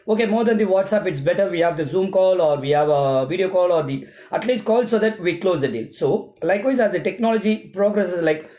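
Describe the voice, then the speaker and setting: Indian guy, emcee type, explaining stuff really quick with examples, echoey room. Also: high-pitched, darkish tone. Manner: repetitive, step-by-step explaining with examples. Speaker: event emcee and host. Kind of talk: informal explanatory vlog monologue. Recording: roomy, echoing sound.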